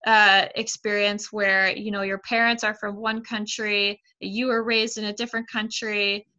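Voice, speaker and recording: A woman's voice: medium pitch, flat delivery, normal speed, bright, forceful tone, muffled recording. A woman talking in a chatty way, with many fillers, in a casual conversation.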